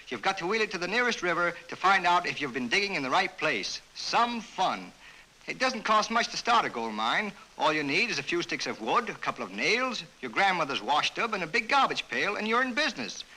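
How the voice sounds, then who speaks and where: Male American newsreel announcer, 1934 style: bright, forceful voice, very animated delivery, full of wisecracks. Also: high voice, brisk, low-quality recording. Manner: jaunty, wisecracking. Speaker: newsreel narrator. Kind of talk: 1930s newsreel scripted narration. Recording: bright vintage 1930s newsreel soundtrack.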